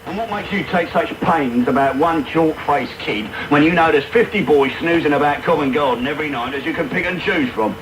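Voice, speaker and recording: An actor playing a brutal, menacing housebreaker in a radio drama, gruff and commanding in manner. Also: male voice, a little high, a little fast, few pauses, close to an everyday voice. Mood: suspicious, threatening. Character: brutal, menacing London housebreaker, a grown man. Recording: noisy medium-wave radio broadcast tape.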